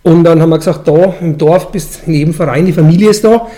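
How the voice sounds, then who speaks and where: A man's voice: on the high side, fast, warm tone. A man in his forties with a Franconian accent, explaining his craft in casual, colloquial dialect as a brewmaster being interviewed. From Franconian Switzerland, Bavaria, Germany. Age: 40s. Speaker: brewmaster and brewery owner, interviewee.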